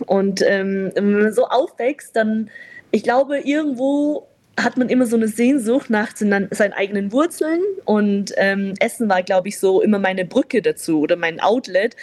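A woman's voice: medium pitch, a little mellow. A young woman born in China, speaking as a guest in a candid conversation, reflective and open, with frequent fillers and easy laughter.

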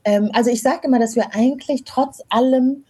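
A woman's voice: high voice, fairly quick, warm tone, breathy edge. A woman in her thirties telling personal stories in an informal, reflective, chatty way as an interview guest. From born in Rwanda, grew up from age ten in small-town Germany. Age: 30s. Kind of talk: casual conversational storytelling.

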